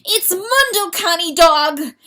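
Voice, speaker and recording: A woman's voice: very high-pitched, expressive, takes it slowly, sharp tone, voice pushed up, full character voice. A woman voicing an anguished, guilt-ridden male rooster in a dramatic animal fantasy audiobook, wailing and choked with self-loathing. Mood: frantic self-condemnation. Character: anguished guilt-wracked male rooster, once a proud lord.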